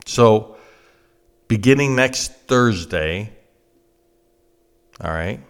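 Male political commentator, 50s, American. Emphatic and blunt, unhurried delivery with big swings in pitch. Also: animated. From United States. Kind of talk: opinionated political monologue.

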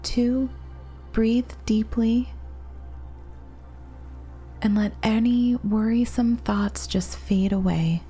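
A woman narrating a guided relaxation meditation in a soft, gentle, soothing manner with long pauses. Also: a little high, steady tone. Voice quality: soft, breathy. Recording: meditation music behind the voice.

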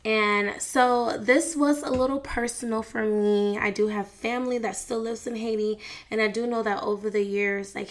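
A woman's voice: mid-range voice, fairly bright tone. A young woman vlogging in a casual, frank way, chatting about celebrity news and family with plenty of fillers and slang. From United States. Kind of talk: casual celebrity gossip chat.

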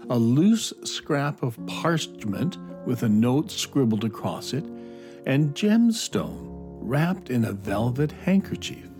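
A man narrating a scripted children's adventure story in a measured, dramatic storytelling manner. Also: mid-range voice, darkish tone. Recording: narration over clear background music.

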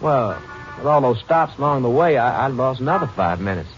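A man's voice: mid-range voice, lively intonation, a little fast, faint music behind, lightly acted. A man playing a folksy chatty bus driver. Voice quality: folksy, rambling. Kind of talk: 1950s radio drama acting. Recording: dull old radio recording, hissy.

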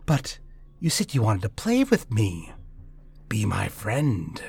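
A man voicing a sinister female water spirit in an icy, hushed voice, slowly luring and falsely tender. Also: fairly low pitch, wildly swooping pitch, no echo, heavily acted. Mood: coaxing, eerie. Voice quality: icy, hushed, breathy whispering.

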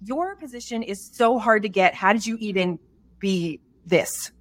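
A woman's voice: mid-range voice, unhurried, dry recording. A woman hosting a podcast in casual chat, with an upbeat, sing-song delivery. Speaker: podcast host.

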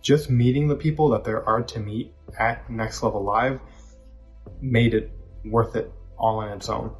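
A man's voice: medium pitch, steady tone, measured pace, soft background music. A man giving an earnest testimonial about an event, with some filler words.

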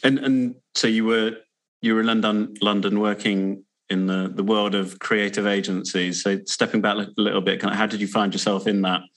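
A man's voice: on the low side, level tone, moderate pace, a bit bright. A man hosting an interview show, introducing topics and putting questions to guests. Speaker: podcast host interviewing a guest. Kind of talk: scripted introduction and conversational interviewing.

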